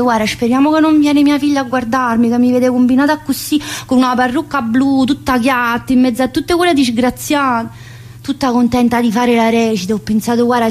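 An actress as a talkative southern Italian woman inmate, high-voiced. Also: darkish tone, natural voice. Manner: rambling, colloquial, full of tag questions. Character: talkative, teasing, wounded woman prison inmate. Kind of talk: theatrical monologue in colloquial dialect-tinged speech.

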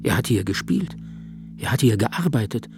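A man's voice: medium pitch, animated, moderate pace. A man narrating a literary radio play in short, insistent, dramatic sentences. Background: music with guitar.

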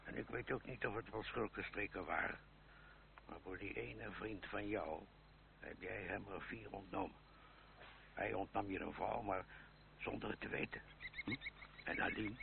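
An actor playing a gruff, fond old uncle in a radio play, halting and gently scolding, with a creaky old voice. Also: male voice, fairly low pitch. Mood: probing. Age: older. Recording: noisy, muffled old radio recording.

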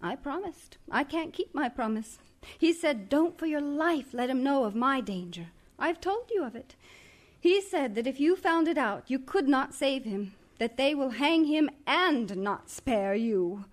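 An actress playing a frantic young wife, pleading and emotional, full of dread. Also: female voice, high-pitched, animated, unhurried. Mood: hushed. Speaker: pastor's wife. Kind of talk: stage play acting.

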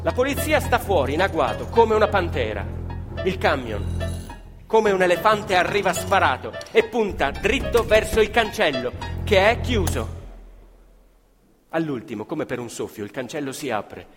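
Animated, high-voiced male theatrical storyteller. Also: moderate pace. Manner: emphatic, repeats phrases for effect. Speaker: stage storyteller and actor. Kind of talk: dramatic narrative theatre storytelling. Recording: live music playing behind the voice. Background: live violin, piano and double bass music.

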